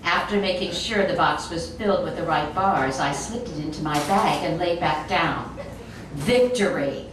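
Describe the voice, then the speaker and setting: A woman reading a comic short story aloud in an animated, expressive storytelling manner. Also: on the low side. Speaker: reader. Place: echoey room, recorded from a distance. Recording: very echoey room, distant mic, noisy.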